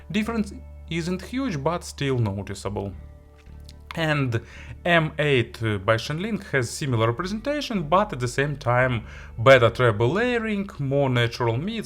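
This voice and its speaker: A man who is a non-native English speaker narrates a product review as an audio gear reviewer, in halting, hesitant phrasing. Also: mid-range voice, a bit bright. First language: not English.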